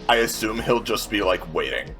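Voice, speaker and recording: A man's voice: fairly low pitch, lively intonation, normal speed, pushed and bright, soft background music. A man chatting informally as a player in a tabletop game, swearing and repeating himself as he reasons aloud. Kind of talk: casual game-table chat.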